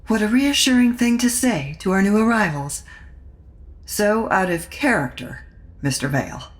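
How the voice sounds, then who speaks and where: Audio drama: actress as cutting society guest, dry wit, varied intonation. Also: female voice, medium pitch, expressive, moderate pace, natural voice. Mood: dryly cutting. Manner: dry, arch, sardonic. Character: witty, cutting, aloof society lady guest.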